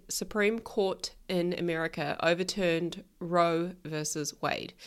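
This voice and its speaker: A woman with a New Zealand accent hosts her own podcast in a frank, outspoken monologue. Her voice sounds a little nasal and stuffed up from a recent illness, and she doesn't shy from swearing. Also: lowish voice. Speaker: podcast host, life coach. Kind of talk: casual solo podcast monologue.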